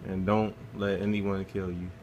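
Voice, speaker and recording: Low-voiced dude narrating his gameplay, flat and laid-back, cusses a lot. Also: measured pace, muffled recording, a little hiss or hum. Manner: laid-back, swears casually, talks through his play. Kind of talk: casual game walkthrough commentary.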